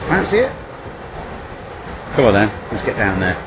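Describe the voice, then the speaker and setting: A man's voice: fairly low pitch, very animated. An older man chatting casually to a handheld camera while hiking outdoors, rambling and self-mocking. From England. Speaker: hiking vlogger. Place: outdoors by a rushing river in woodland. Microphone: camera held at arm's length. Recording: loud rushing river noise behind. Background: roaring river water.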